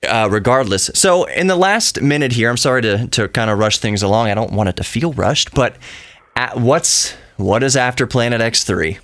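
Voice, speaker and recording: A man's voice: medium pitch, animated, moderate pace, a bit bright, dry recording. An American man from Texas hosting a radio show in a casual, conversational, slightly rambling way. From Texas, United States. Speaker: radio show and podcast host.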